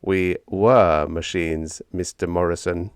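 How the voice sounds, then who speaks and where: A man voicing a polite, solemn, smug android rebel in an audiobook, speaking in a measured way. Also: low-pitched, steady tone, clear, resonant tone, dry recording, studio quality. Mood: polite, complacent. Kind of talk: audiobook character dialogue.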